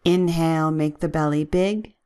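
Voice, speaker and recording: A woman leading a guided relaxation with gentle, soothing, measured instructions and long pauses. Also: sits low, steady tone, clean tone.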